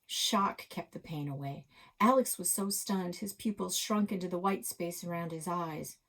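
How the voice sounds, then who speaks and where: A woman reading a children's story aloud as a dramatic narrator, expressive and suspenseful. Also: lowish voice, normal speed.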